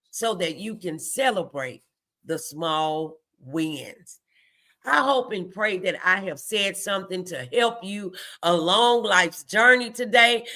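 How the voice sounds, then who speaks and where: A woman's voice: mid-range voice, sharp tone. A middle-aged American woman hosting a motivational faith talk, exhorting listeners in an emphatic, preacher-like way. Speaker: host of a morning motivational show.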